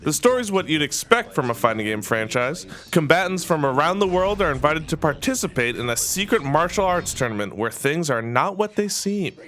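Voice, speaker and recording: A man's voice: a little high, very animated, moderate pace, hardly any pauses, a bit bright. A man delivering scripted narration for an entertainment review, as a presenter of a list.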